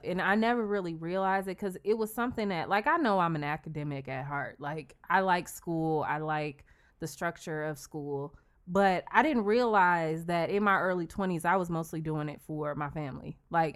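A woman's voice: fairly low pitch, clear, resonant tone. A woman in her twenties co-hosting a podcast, chatting in a reflective, playful way. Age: 20s. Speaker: podcast co-host. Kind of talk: casual chat.

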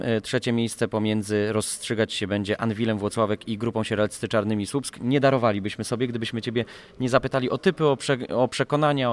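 A man hosting a sports podcast in a conversational, wordy way, searching for his phrasing. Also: lowish voice. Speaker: podcast host.

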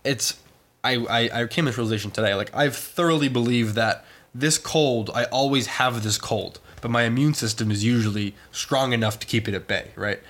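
Young man, stuffy nose from a cold, casual podcast host, playful, mid pitch. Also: moderate pace, fairly bright tone. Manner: joking, reads ad copy playfully.

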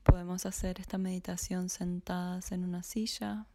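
A woman slowly guiding a body scan meditation in a soft, half-whispered voice. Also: on the low side, level tone, dry recording. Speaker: meditation guide.

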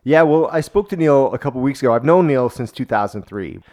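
Male podcast interviewer, forties, Canadian accent, mid-pitched voice, casual and conversational. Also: animated, a little fast, a little mellow. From Toronto, Canada.

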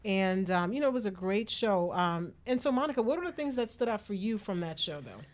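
A woman's voice: mid-range voice, expressive, fairly quick. A woman hosting a talk radio show, chatting casually with co-hosts and listeners.

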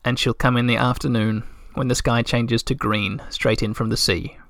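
A man voicing a calm, sensible female winter creature who gives quiet, serious warnings. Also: mid-range voice, normal speed, gravelly, dry recording, studio quality.

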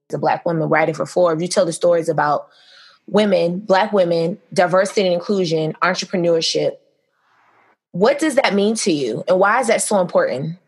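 A woman interviewer asks her guest questions in a casual, enthusiastic way. Her voice is husky and a little breathy, and sits fairly low. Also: darkish tone.

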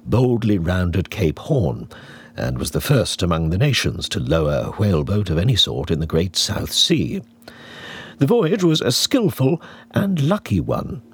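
A man reading literary narration as an audiobook, in a deliberate, theatrical storytelling manner with varied emphasis. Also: low voice, husky. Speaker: audiobook reader.

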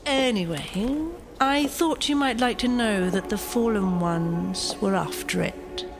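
An actress playing a loyal, encouraging female angel with an earnest, measured manner in an audio drama. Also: medium pitch, music in the background. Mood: teasing.

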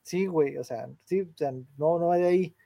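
A young man with a Mexican Spanish accent rants in fast, slangy talk, swearing as he goes. His voice sits high but sounds mellow and soft-edged. The recording is dry, with no room echo. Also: creaky. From Mexico, San Luis Potosí. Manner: slangy, ranting, swears freely. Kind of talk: casual chat, film rant.

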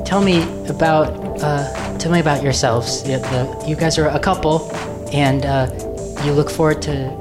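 A woman's voice: low voice, fairly even intonation, normal speed, few pauses, over loud music, lightly acted. A woman playing a nervous, apologetic podcast host who talks hesitantly and carefully, in improvised comedy. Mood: uneasy, welcoming.

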